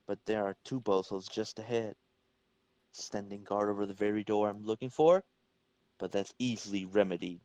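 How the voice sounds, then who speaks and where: A man's voice: fairly low pitch, normal speed, a little mellow, slight background noise, lightly acted. A man reading the part of a level-headed, wisecracking young hero in a casual, wry way, for a comic-book reading. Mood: calm, wry.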